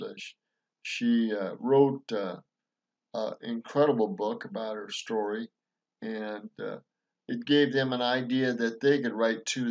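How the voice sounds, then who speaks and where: Earnest rambling man, dull lo-fi sound. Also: medium pitch. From Montana, USA. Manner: earnest, rambling, with many fillers and restarts. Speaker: vlogger reviewing a film. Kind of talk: casual commentary over film clips.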